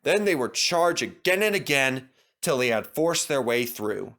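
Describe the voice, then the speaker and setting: A man's voice: medium pitch, very animated, measured pace, a bit bright. A man reading a scripted children's story as its narrator.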